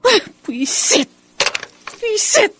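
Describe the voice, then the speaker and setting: A woman's voice: very high-pitched, sing-song, drawn-out words, noisy background, exaggerated voice. A woman acting a desperate captive in a Filipino radio drama, theatrical and exasperated, talking to herself and pleading. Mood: frustrated.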